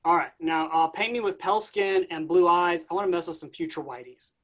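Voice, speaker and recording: A man playing a smug, flippant Jesus with playful, drawn-out teasing in a staged comedy reading. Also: fairly high pitch, fairly bright tone, lightly acted. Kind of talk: staged reading of a comic play.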